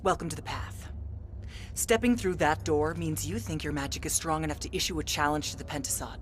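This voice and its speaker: A woman's voice: deep voice, a little fast, a little hiss or hum, close to an everyday voice. A woman playing a stern, contemptuous warden of a magical path in a fantasy video game, speaking formally and cuttingly.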